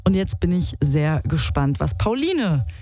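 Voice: mid-range voice, very animated, unhurried, warm tone, no room sound. A radio host, chatting with callers on a live call-in show in an upbeat way, with lots of fillers. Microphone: studio microphone.

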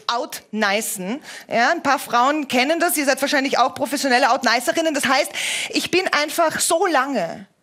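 A woman in her thirties from Vienna performing cabaret on stage, with a theatrical, playful, self-doubting delivery and timed pauses. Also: high-pitched, sharp tone. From Vienna, Austria. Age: 30s.

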